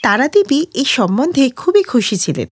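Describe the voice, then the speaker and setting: A woman's voice: high voice, big pitch swings, normal speed, a little hiss or hum. A woman narrating a scripted moral story for children as the storyteller.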